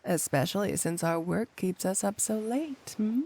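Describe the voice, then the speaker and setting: A woman's voice: mid-range voice, expressive, warm tone. A woman playing a flirty, possessive supervillain cat burglar wife in an intimate ASMR roleplay, coyly drawing out her endearments. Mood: relaxed, flirty. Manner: coy, drawn-out, purring endearments with pointed hums.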